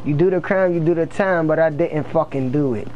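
A man's voice: a little high, brisk, lo-fi sound, slight background noise, lightly acted. A man playing a defiant, wronged inmate and gang boss in improvised game role-play, talking in indignant, profane street slang. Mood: indignant, bitter.

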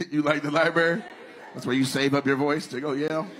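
A man doing stand-up crowd work on a club stage, roasting audience members in a loud, animated way. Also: fairly high pitch, fairly quick. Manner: loud, animated, breaks into shouted voices. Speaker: stand-up comedian. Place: comedy club stage, brick wall. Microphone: handheld stage mic on stand. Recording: live club crowd and noise.

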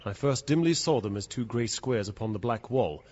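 A man's voice: medium pitch, lively intonation, moderate pace, lightly acted. An actor playing a terrified, trapped man who recounts his ordeal in suspenseful, theatrical audio drama narration. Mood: hushed dread. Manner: suspenseful, theatrical, swinging from hushed to frantic. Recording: dull, lo-fi audio with loud background noise.

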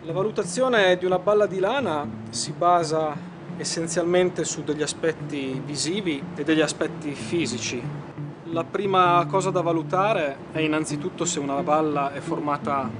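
A man's voice: on the high side, normal speed. A man explaining in a formal, methodical way for a documentary. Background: music.

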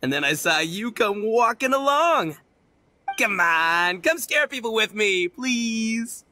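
A man's voice: high-pitched, expressive, slow, sharp tone, slight background noise, exaggerated voice. A man voicing a boastful, boyish oni gang leader in a video game, with brash, slangy, theatrical swagger. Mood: scheming, excited. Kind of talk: animated video game character acting.

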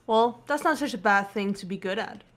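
A woman voicing a serious, guarded teenage girl detective in a visual novel game, cool and measured. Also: medium pitch, fairly bright tone, lightly acted. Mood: cool, composed.

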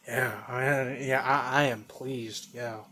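A young man talks through his reaction at home in loose, rambling bursts. He often trails off into quiet mumbles. His voice sits at an average pitch and is picked up by a desk mic. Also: fairly even intonation, slow pace. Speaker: reaction video host. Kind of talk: casual reaction commentary. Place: home room with a flag on the wall.

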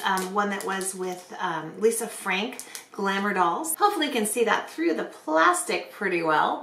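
A middle-aged woman with a slightly hoarse voice chats casually to camera as a beauty vlogger presenting products. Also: medium pitch, a bit bright, a little hiss or hum. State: hoarse from bronchitis. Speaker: beauty vlogger hosting a giveaway. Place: small bedroom, indoors. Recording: roomy, echoey bedroom sound.

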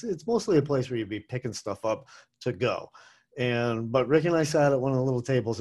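A middle-aged man talking through a food review in a loose, rambling way, correcting himself as he goes, into a desk microphone at home. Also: on the low side. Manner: rambling, chatty, with self-corrections and asides. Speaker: food vlogger. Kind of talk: casual food review monologue. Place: small home room with posters. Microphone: desk microphone on a boom arm, webcam framing.

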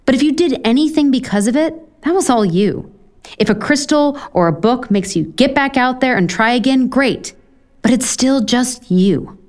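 A woman's voice: high voice, lively intonation, moderate pace, darkish tone. An actress playing an earnest, self-deprecating woman author in a romantic comedy audio drama, frank, rambling and profane. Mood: earnest, confessional.

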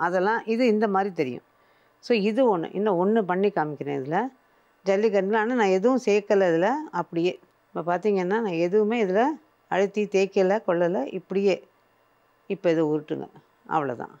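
A woman's voice: fairly low pitch, darkish tone, muffled recording. An older woman presenting a cooking show, patiently explaining each step as she works in a studio kitchen.

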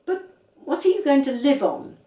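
Woman reading as a patient father, lively pitch. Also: normal speed, echoey room, recorded from a distance. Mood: patient, doubtful. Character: doubtful, patient, teasing father of young children.

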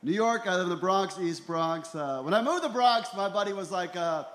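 Male comedian, 40s, slightly high voice, deadpan and self-deprecating stand-up delivery, live and echoey. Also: brisk. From Ecuador and El Salvador. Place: small comedy venue in a tent, on stage. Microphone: handheld stage microphone. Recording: roomy live comedy club sound.

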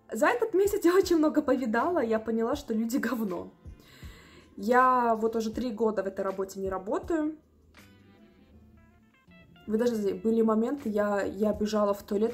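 A young woman in her twenties telling a story to the camera from a small room at home, animated and indignant. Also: mid-range voice. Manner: animated, indignant, acts out customers' voices. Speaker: vlogger.

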